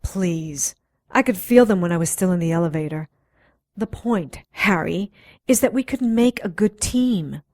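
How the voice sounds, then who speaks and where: A woman's voice: on the low side, lively intonation, a little slow, a little mellow. Actress as confident, teasing woman scientist-sorceress. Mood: teasing, assertive. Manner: flirtatious, persuasive, self-assured. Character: confident, teasing female doctor of magic and science. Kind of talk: radio drama dialogue.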